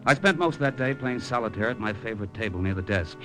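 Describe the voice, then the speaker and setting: An actor playing a cynical, tough-talking American adventurer who narrates in a wry, slangy, clipped radio drama style. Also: male voice, fairly low pitch, expressive, fast, natural voice. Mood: sardonic. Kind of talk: 1950s radio drama acting, hard-boiled narration. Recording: old radio recording with music behind.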